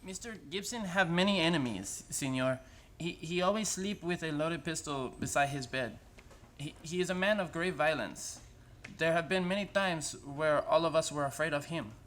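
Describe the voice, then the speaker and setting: Man acting a halting, deferential manservant. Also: a little high, fairly bright tone, a little hiss or hum, close to an everyday voice. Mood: fearful, deferential. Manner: halting, repeating his first words. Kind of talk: radio play acting.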